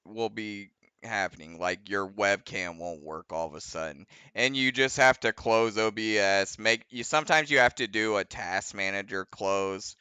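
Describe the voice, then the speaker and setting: A man's voice: on the low side, moderate pace, sharp tone, clean tone, dry recording. A man hosting a live stream, commenting casually and bluntly on what is happening. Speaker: host of a live reaction show.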